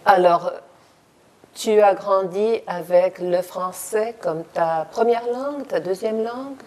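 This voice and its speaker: A woman's voice: mid-range voice, takes it slowly. Chatty woman host. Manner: warm, enthusiastic and chatty. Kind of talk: community TV interview.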